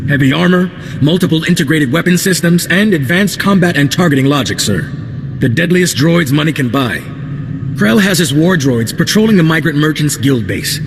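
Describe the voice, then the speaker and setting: A man playing a respectful, dutiful corporal in a video game, briefing with crisp military formality. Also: on the high side, animated, moderate pace. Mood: dutiful, serious. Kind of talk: video game cutscene acting. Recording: long hall reverb, ambient vehicle noise.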